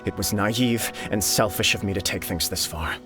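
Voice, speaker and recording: An actor playing a gentle, devoted young nobleman who speaks earnestly. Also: fairly low pitch, normal speed, over music, close to an everyday voice. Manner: earnest, sincere. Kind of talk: video game cutscene dialogue.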